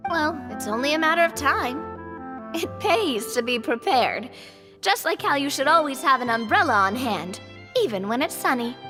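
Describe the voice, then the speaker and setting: A woman's voice: sits high, lively intonation, moderate pace, in a large hall, over music. A woman voicing a playful, breezy and cheekily bold young woman adventurer in a video game.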